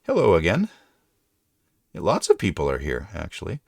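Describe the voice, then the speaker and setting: A middle-aged man with a deep voice, hosting a casual livestream chat and rambling through his thoughts with frequent restarts. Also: wildly swooping pitch, moderate pace, gravelly, no echo, studio quality. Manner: rambling, self-correcting. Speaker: livestream host.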